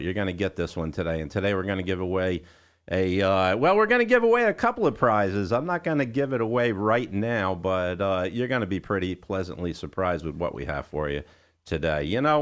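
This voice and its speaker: A man hosting a music radio show, chatting informally with listeners and guests with a showman's patter. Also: fairly low pitch. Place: radio studio.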